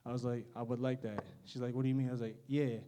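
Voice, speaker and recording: A man's voice: mid-range voice, flat delivery, fast talker, dark tone, slight background noise. A young man doing a stand-up set, awkward and self-mocking, laughing at his own jokes. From United States. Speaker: stand-up comedian.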